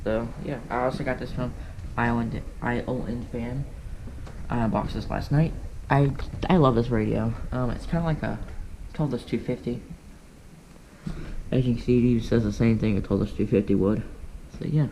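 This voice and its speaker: A man's voice: medium pitch, fairly even intonation, moderate pace, gravelly. A man casually narrating a show-and-tell of his collection in a rambling, offhand way, recorded indoors at home. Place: indoors, room at home with radios. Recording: noisy amateur room recording with clicks.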